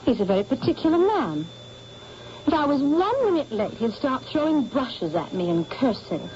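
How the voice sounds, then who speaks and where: A woman's voice: high voice, very animated, normal speed, darkish tone. An actress voicing a cheeky young Cockney woman who works as an artist's model, talking bluntly and saucily, in a 1970s radio drama. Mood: saucy. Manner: blunt, saucy, drops aitches and says "me". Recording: dull old radio broadcast with faint hiss.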